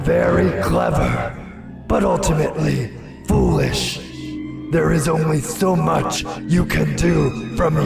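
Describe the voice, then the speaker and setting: A monstrous villain gloats with slow, pompous menace in an audio drama. The voice is heavily gravelled and swoops about, echoing from a distance. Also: male voice, a little high, heavily acted. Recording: echoey, distant voice under loud music.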